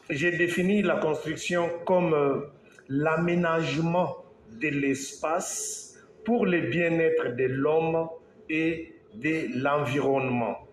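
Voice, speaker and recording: A man from Congo, an engineer, giving a slow, halting talk to an audience, with pauses and restarts. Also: medium pitch. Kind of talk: speech to an audience. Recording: echoey hall, faint background noise.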